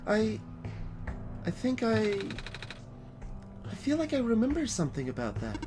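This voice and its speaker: A man voicing a gentle, hesitant young child adventurer in a video game, curious and apologetic. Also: high-pitched, huge pitch swings, unhurried, pitched above the performer's own voice, full character voice. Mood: unsure. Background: game music.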